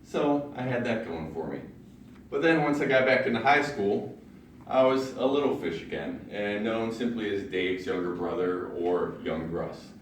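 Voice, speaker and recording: A young man from Ohio with a deep voice, giving a prepared personal speech with self-deprecating jokes at a public speaking club. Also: normal speed, far from the mic, a little hiss or hum. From Ohio, USA. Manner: self-deprecating humor, storytelling with punchlines. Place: large meeting room in a library. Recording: very echoey room, recorded from afar.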